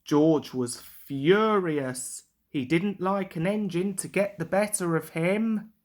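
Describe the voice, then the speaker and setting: Slow, lower-pitched woman storyteller. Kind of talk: scripted children's story narration.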